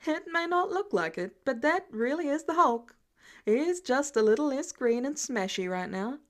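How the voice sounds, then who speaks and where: A woman's voice: sits high, animated, normal speed. Woman as breezy male genius billionaire, shrewd, showy, lightly friendly, story narration.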